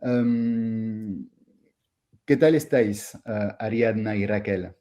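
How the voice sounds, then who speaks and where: A man's voice: lowish voice, fairly even intonation, slow pace, warm tone, lo-fi sound. A man from France with a French accent, hosting an online masterclass in a hesitant way, with many fillers and restarts. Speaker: host.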